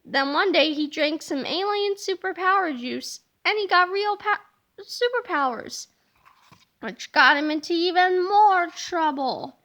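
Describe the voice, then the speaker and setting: A woman reads a children's book aloud with animated, playful narration. Her voice sits high and sounds bright and lively. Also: unhurried. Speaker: storybook reader.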